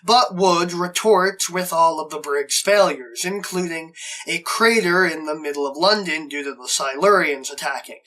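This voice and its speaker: A man's voice: fairly high pitch, normal speed, pushed and bright, poor audio. A man narrating a scripted plot recap as host of a review show.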